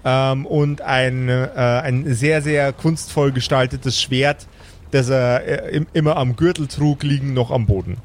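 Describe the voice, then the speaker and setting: A man's voice: mid-range voice, steady tone, a little slow. A man narrating an improvised tabletop fantasy game as game master, often repeating words as he searches for the next phrase.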